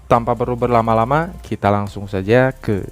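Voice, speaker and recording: Male tutorial host, mid-to-low voice, methodical instructional delivery over background music. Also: moderate pace. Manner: step-by-step explaining, repetitive phrasing. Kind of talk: phone app tutorial narration.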